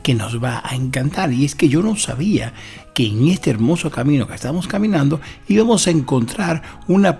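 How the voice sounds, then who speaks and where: A man's voice: mid-range voice, creaky, a little mellow. A Dominican man with a Dominican Spanish accent hosts a travel vlog, narrating in dramatic, sentimental phrasing. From Dominican Republic.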